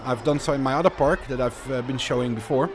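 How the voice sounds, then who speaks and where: A man's voice: medium pitch, fast, slight background noise. A Dutch man with a Dutch accent, chatting casually through live gameplay commentary and answering viewer questions as he builds. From Netherlands. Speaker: streamer.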